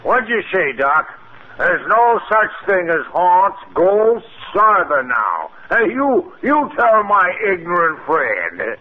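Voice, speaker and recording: An actor playing an excitable, quarrelsome village man with a rustic American accent in 1950s radio style. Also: high voice, takes it slowly, full character voice. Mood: badgering, excited. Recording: phone-like 1950s radio recording.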